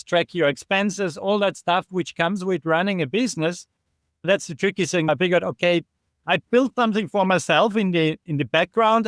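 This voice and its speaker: Man, high clear voice. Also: fairly bright tone, no echo, studio quality. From Austria. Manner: earnest, explanatory, with frequent restarts and "right?" tags. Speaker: podcast guest, app developer. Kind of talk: casual interview chat.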